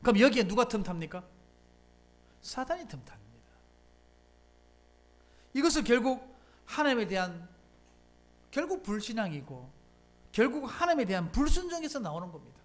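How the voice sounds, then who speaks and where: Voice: mid-range voice, sing-song, normal speed, long pauses. A pastor, preaching a sermon in a church in a conversational, emphatic way and often asking rhetorical questions. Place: church sanctuary.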